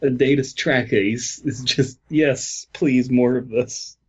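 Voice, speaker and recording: A man's voice: medium pitch, fairly even intonation, slow, breathy edge, highs cut off. A man co-hosting a podcast in casual chat. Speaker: podcast co-host.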